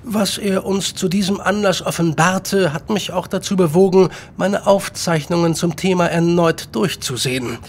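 An actor playing an eager, talkative Victorian gentleman writer in a radio drama, speaking in formal, wordy sentences. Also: high-pitched, normal speed, hardly any pauses, slight background noise. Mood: grave. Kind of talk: German radio drama acting.

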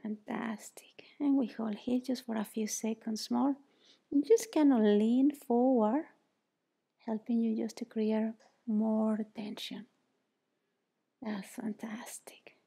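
A woman with a Mexican Spanish accent coaches a gentle foot exercise in a bright indoor yoga studio. Her voice is mellow and gravelly with a raised pitch. She keeps things soothing and encouraging and often sinks to a near whisper. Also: fairly even intonation, slow. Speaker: yoga teacher. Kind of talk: guided exercise instruction.

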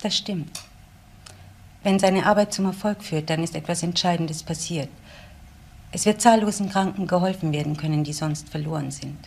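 Low, husky earnest woman. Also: normal speed, darkish tone. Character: loyal, earnest woman admiring a professor. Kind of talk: radio crime drama acting.

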